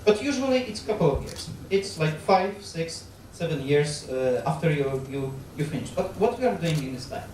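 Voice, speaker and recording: A man in his thirties with a Polish accent, giving a public lecture on stage into a handheld mic, halting often with fillers and restarts. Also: on the high side, very animated, a little mellow, a little hiss or hum. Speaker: guest lecturer on astronaut training. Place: large lecture hall, on stage. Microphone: handheld microphone. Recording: very echoey hall, captured from far off.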